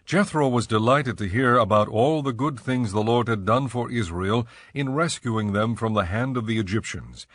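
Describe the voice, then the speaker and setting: A man narrating scripture for a dramatized audio production in a measured, formal storytelling manner. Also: mid-range voice, dry recording. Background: soft music.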